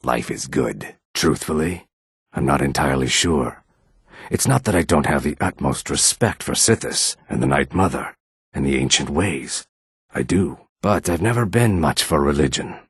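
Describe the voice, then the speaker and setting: An actor playing a calm, proud and courteous lizardfolk assassin in a video game, with a measured, menacing, theatrical delivery. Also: male voice, extremely low pitch, breathy edge, no room sound, clean studio sound, full character voice. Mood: calm, matter-of-fact. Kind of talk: video game character dialogue.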